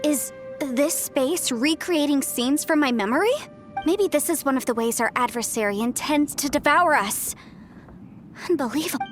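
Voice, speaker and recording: A woman plays a bright-spirited young female lawyer from a fantasy game. She speaks in a high voice, articulate and breezy, with cocky self-assurance and a playful courtroom polish. Faint music sits behind her. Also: natural voice. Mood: confident, musing. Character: perky, confident young female lawyer and martial-arts sorceress. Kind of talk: video game voice acting.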